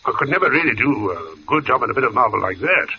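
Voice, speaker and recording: An actor playing a huge, sweating gravestone carver in a 1940s radio drama, folksy, sighing and faintly eerie. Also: male voice, medium pitch, very animated, quick pace, sharp tone, heavily acted. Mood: affable, weary. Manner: folksy, sighing, heat-weary. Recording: noisy, muffled vintage radio recording.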